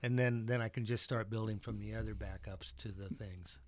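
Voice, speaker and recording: A man's voice: lowish voice, steady tone. A man who teaches computer classes, chatting casually on a radio show with stumbling restarts. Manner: chatty, stumbling restarts, reads contact details slowly.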